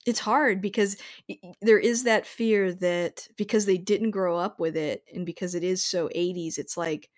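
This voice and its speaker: A woman's voice: lowish voice, steady tone, normal speed. Enthusiastic woman hosting a casual film chat. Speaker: podcast host. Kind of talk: casual chat about films.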